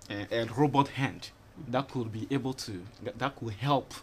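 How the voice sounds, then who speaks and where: A man's voice: mid-range voice, normal speed. Man from Ghana, twenties, TV studio guest, rambling answers, many fillers, faint background noise. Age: 20s. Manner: rambling, fills with "you know" and "you understand". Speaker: interview guest. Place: TV studio set.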